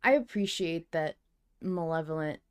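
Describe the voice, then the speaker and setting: Clear, lowish-voiced woman podcast co-host. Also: moderate pace. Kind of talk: casual fan podcast chat.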